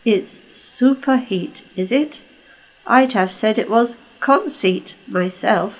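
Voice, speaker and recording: A woman's voice: a little high, takes it slowly, low-quality recording. Woman playing a wry old mountain engine. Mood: teasing. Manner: dry, teasing.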